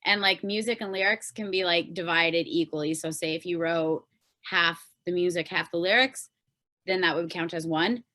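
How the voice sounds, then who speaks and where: A woman's voice: lowish voice, moderate pace, pushed and bright. A woman with a Canadian accent talking casually and informally as a guest on a podcast, explaining things as she goes. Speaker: podcast guest, pop singer-songwriter.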